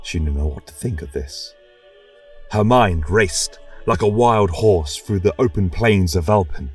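A man's voice: sits low, expressive, unhurried, recorded from a distance, faint music behind. A man narrating a scripted tale as a religious chronicler, in a solemn, reverent, theatrical style. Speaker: storyteller and podcast host.

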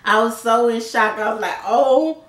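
A young woman telling a story in a casual, chatty, animated way, acting out what was said. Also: fairly high pitch, fairly quick, a little hiss or hum. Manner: animated storytelling, chatty, acts out quoted lines. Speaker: vlogger, channel host. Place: living room couch, indoors. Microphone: camera a little way off. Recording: roomy sound, distant camera mic.

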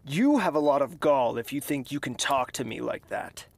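A woman's voice: extremely low pitch, animated, moderate pace, creaky, no echo, heavily acted. A woman playing a haughty, scheming young prince in an audio drama, cool and arrogant. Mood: indignant.

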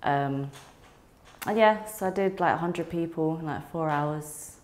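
Low-voiced young woman, frank and relaxed. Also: a little slow. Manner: frank, relaxed, laughs easily, many ums. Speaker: interviewee. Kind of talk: casual interview chat.

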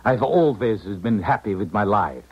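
A low-voiced, scheming defector. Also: male voice, animated, breathy edge. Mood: coldly resolved. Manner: formal, deliberate phrasing. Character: calculating foreign male defector, formal and smooth-tongued schemer. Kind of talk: 1970s radio drama acting. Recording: dull, muffled 1970s radio recording.

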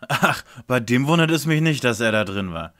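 A man playing a bossy, measured young lead detective in a parody detective audio drama. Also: medium pitch, expressive, a bit bright, natural voice. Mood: impatient.

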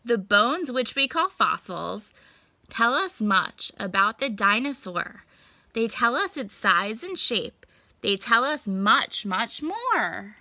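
A young adult woman reading a picture book aloud to children, expressive and deliberate, stretching words for emphasis. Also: on the high side, dry recording. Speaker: children's story time reader. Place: small indoor room at home.

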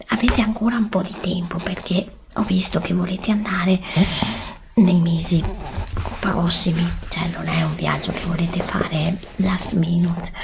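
Low, creaky, whispering woman. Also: background noise. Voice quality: breathy, often whispering. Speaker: ASMR roleplayer playing a travel agent. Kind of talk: ASMR roleplay, soft-spoken and whispered. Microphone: desktop USB microphone, close.

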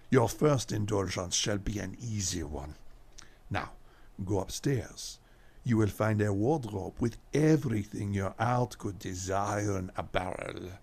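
An actor playing a suave, commanding French vampire lover, with a gravelly voice and formal, seductive delivery in a gothic radio drama. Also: male voice, on the low side, a little mellow, exaggerated voice.

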